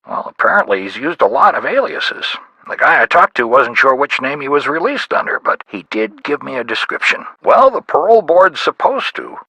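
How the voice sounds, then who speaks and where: An actor playing a folksy, helpful investigator in a video game, with a gravelly, husky voice and casual, colloquial talk. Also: male voice, mid-range voice, sing-song, normal speed, pushed and bright, no room sound, exaggerated voice, rough recording. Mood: helpful, animated. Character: folksy, helpful tough-guy investigator making calls. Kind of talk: video game character voice lines.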